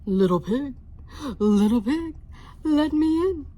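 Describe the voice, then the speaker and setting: A woman voicing a hungry, blustery big bad wolf in a children's storybook, booming out slow, drawn-out threats. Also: lively intonation, mellow, full character voice. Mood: demanding.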